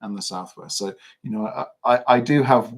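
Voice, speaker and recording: A man's voice: on the low side, normal speed. Chatty English man co-hosting a podcast. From England. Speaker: podcast co-host. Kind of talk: casual livestream chat.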